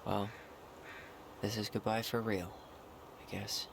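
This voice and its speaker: An actor playing an easygoing young American man in a video game, speaking casually and with gentle, understated humor. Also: lowish voice, level tone, takes it slowly, vocal fry, background noise. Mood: wistful, quiet. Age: young adult. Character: easygoing young man, twin brother leaving his childhood home. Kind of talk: naturalistic video game dialogue.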